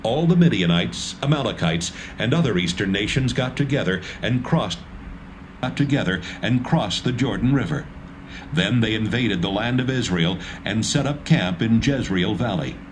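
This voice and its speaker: A man narrating a dramatised audio Bible in a measured, even storytelling manner. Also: fairly low pitch, a little hiss or hum, low-quality recording. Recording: music bed under narration.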